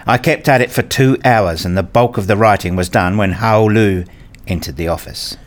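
A man playing an earnest, dryly sarcastic university researcher in a scripted radio play, with a deadpan, formal way of talking. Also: on the low side, moderate pace, natural voice. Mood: reflective. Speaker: narrator and lead actor in a radio play. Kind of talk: first-person scripted radio play narration and dialogue.

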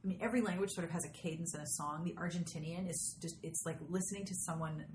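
A woman's voice: lowish voice, fairly even intonation, fast. A woman hosting a podcast, chatting casually in an effusive, exclamatory way and swearing freely. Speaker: podcast host and interviewer. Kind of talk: casual interview chat. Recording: echoey room with background noise.

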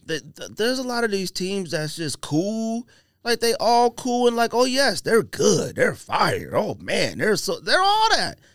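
A man's voice: sits high, wildly swooping pitch, measured pace, a bit bright, no echo. A man hosting a casual sports podcast, debating picks in an emphatic, argumentative, slangy way.

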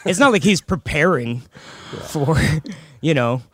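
A man with a slightly high-pitched voice talks as a comedian guest, bantering casually and dropping jokes into his stories. The recording is close and dry. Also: expressive, measured pace, dry recording. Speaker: comedian guest on a radio show.

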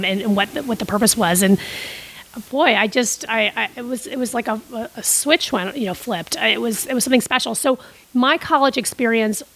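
A woman's voice: medium pitch, a bit bright, poor audio. A middle-aged woman who grew up in Costa Rica, telling long stories as a podcast guest with many restarts and fillers. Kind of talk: casual interview storytelling.

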